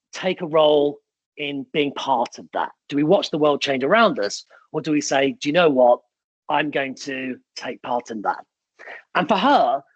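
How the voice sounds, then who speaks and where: A man's voice: a little high, no room sound, low-quality recording. Emphatic man giving a motivational keynote. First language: Italian. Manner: emphatic, storytelling, punchy short phrases. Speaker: keynote speaker.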